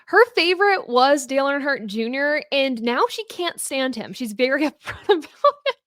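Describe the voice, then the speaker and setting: A woman's voice: high voice, sing-song, normal speed, a bit bright, no echo, clean studio sound. A young woman talking about her career as a podcast guest, upbeat and chatty with many "like"s. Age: young adult. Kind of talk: casual interview chat.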